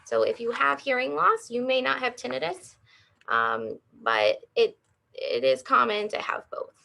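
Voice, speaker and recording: A woman's voice: fairly high pitch, very animated, unhurried, gravelly, pushed and bright. A woman in her thirties, an audiologist, giving an educational health talk and explaining things plainly with everyday examples. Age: 30s.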